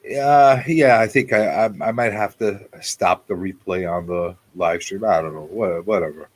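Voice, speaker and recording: A man's voice: low voice, normal speed. A man in his forties hosting a casual live talk show, repeating phrases for emphasis as he talks off the cuff. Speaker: radio show host.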